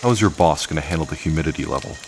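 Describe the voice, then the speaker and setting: An actor playing a wry, protective expedition leader in an audio drama, with a dry, clipped, commanding way of talking. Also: male voice, very deep voice, rapid-fire, background noise. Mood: brisk, commanding.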